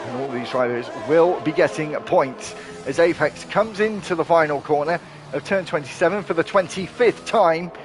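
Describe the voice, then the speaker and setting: Guy doing live sim race commentary, kinda high voice, gets hyped and keeps correcting himself. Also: noisy background. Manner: excitable, rapid play-by-play, self-correcting.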